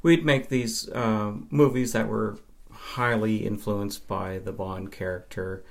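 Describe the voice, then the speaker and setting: A man's voice: lowish voice, steady tone, slow pace, gravelly. A man from Nova Scotia, Canada, chatting casually as an interview guest and film fan.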